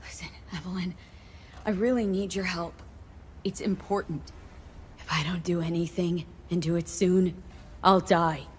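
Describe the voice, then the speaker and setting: A woman's voice: on the low side, steady tone, measured pace, long pauses, natural voice. An actress voicing a tough, blunt, guarded woman mercenary in a video game, over some background noise. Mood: earnest, pressing. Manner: blunt, clipped.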